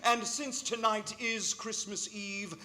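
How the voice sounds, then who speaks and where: A man's voice: high-pitched, steady tone, takes it slowly, bright, forceful tone, heavily acted. A man playing an indignant, pompous prosecutor who declaims his case in a live radio play. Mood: insistent, impatient. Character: indignant, pompous male prosecutor arguing in court.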